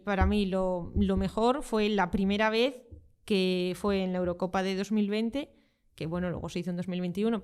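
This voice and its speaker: A young woman, a football translator and guest, answering interview questions in a relaxed, explanatory way, with fillers as she thinks. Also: medium pitch, clear, resonant tone. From Spain.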